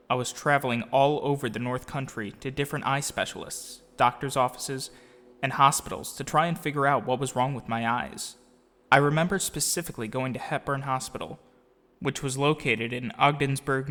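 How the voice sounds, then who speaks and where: A man's voice: mid-range voice, a bit bright, natural voice. Reverberant, reflective storytelling man. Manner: measured, storytelling. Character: reflective grown man narrating his childhood memories. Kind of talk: scripted first-person narration. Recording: long reverb, faint music behind.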